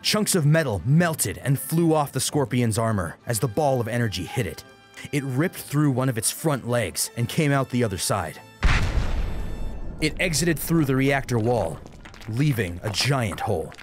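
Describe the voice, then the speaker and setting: A man's voice: mid-range voice, animated, normal speed. A man reading a dramatic action story as an audiobook narrator. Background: music under the voice.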